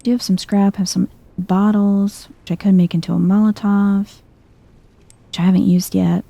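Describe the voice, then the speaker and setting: A woman giving soft-spoken, chill ASMR-style commentary as she plays a video game, murmuring as if to herself. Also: mid-range voice, flat delivery, moderate pace, gravelly, dry recording. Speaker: video game streamer, let's player.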